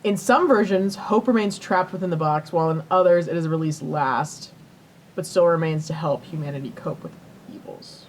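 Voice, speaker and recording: A woman's voice: deep voice, normal speed, slight background noise. A woman co-hosting a podcast, casually retelling a myth in informal language with swearing and plenty of "like". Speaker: podcast co-host.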